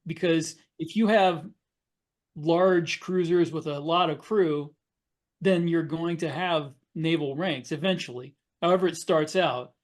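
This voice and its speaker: A man with a fairly high voice takes part in a casual panel discussion. He lays out his points in a measured, explanatory way. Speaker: podcast panelist and science fiction author.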